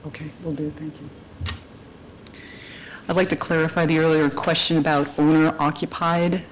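Staff presenter, slow deliberate explanation, public hearing, faint background noise. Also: mid-range voice, level tone, low-quality recording. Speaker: city planning staff presenter.

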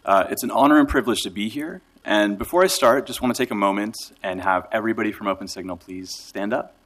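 A man delivering a prepared, formal and measured speech as a nonprofit director in a council chamber. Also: fairly low pitch, a bit bright.

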